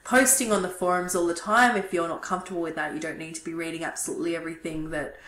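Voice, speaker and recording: A woman in her thirties giving a recorded university lecture, hoarse and throaty from losing her voice, relaxed and chatty with many ums. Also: on the low side, quick pace, echoey room. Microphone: webcam at desk.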